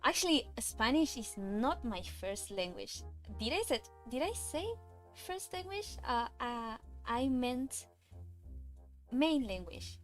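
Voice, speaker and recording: A woman's voice: fairly high pitch, very animated, soft background music. A woman whose first language is Cantonese, chatting casually and hesitantly while streaming herself drawing. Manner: hesitant, nervous, halting with restarts. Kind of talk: casual livestream chat.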